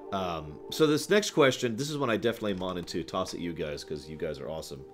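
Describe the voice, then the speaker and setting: Lively, low-voiced man on a casual stream. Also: fast, soft background music. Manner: rambling, thinking aloud, talking to chat. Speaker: livestream host answering viewer questions. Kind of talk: casual livestream chat about games.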